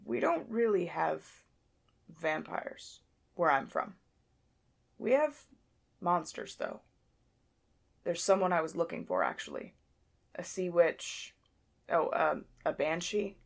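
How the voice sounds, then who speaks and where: A woman's voice: fairly low pitch, sing-song, takes it slowly, gravelly, pauses often, exaggerated voice. A woman playing a guarded, blunt female werewolf pack leader in an audio drama, with a dry, informal way of talking. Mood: wary, unsure. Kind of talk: scripted audio drama acting.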